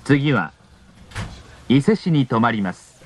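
A man's voice: medium pitch, big pitch swings, slow pace. A man reading a scripted train announcement in a formal, polite manner. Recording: in-car announcement with clear vehicle noise. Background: train running noise.

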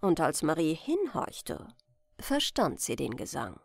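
A woman reading a bedtime fairy tale as an expressive, gentle audiobook narrator. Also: deep voice, slow pace, vocal fry, breathy edge, no echo, studio quality. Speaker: storyteller, audiobook reader.

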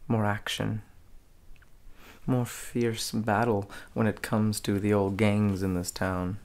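A young man with a fairly low, heavily creaky voice plays a menacing, arrogant gang leader in an ASMR role play. His delivery is slow, hushed and deliberate, with very little movement in pitch. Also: flat delivery. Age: young adult. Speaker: ASMR performer. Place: small dim room indoors.

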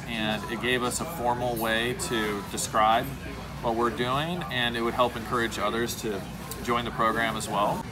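A man in his forties, a restaurant owner, talking earnestly to a phone camera at home, gesturing as he explains. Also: medium pitch. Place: home room indoors. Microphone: phone camera close up.

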